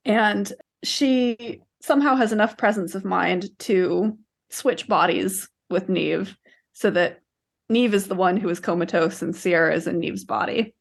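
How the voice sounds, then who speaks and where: A woman's voice: medium pitch, gravelly. Female podcast co-host, conversational, argumentative but careful to qualify what she says. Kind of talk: casual book discussion.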